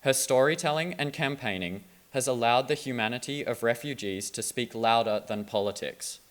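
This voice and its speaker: A man presenting at a festival, reading a measured, formal introduction of the guest speaker. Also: mid-range voice. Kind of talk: scripted introduction.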